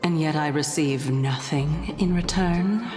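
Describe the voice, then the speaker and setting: An actress playing a composed, calculating woman in a video game, speaking in a measured, formal, coolly condescending way. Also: sits low, big-room sound, over music. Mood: cool, teasing. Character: composed, calculating, teasing woman with hidden motives.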